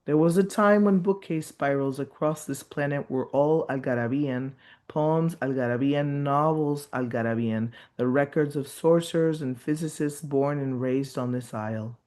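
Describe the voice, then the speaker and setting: Poet with a measured, incantatory reading. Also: male voice, fairly high pitch, darkish tone. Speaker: poet reading from his book, guest. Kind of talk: poetry reading.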